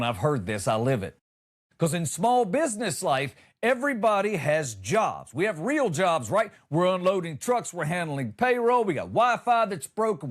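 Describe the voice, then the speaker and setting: A man hosting a comedy show, delivering a conversational monologue with exasperated, emphatic punchlines. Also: fairly high pitch.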